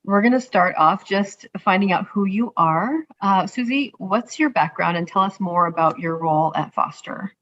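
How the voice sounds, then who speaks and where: A woman's voice: mid-range voice, animated, moderate pace, few pauses, a bit bright, muffled recording. A woman hosting an online interview webinar, friendly and casual, with frequent fillers, over a video call. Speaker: host.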